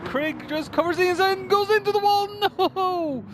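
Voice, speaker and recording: A man with a high-pitched voice calls a race live over clear vehicle noise. He is informal and exclamatory, with hesitations between phrases. His voice sounds strained, as if it is starting to give out. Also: normal speed. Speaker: esports racing commentator. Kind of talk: excitable live race commentary.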